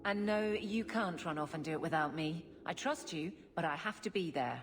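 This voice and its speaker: A woman's voice: on the low side, normal speed, crowd noise, lightly acted. An actress playing a stern, practical city guardswoman in a fantasy game, blunt and businesslike. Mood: resolute. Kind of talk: fantasy video game acting. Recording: long reverb, loud music behind.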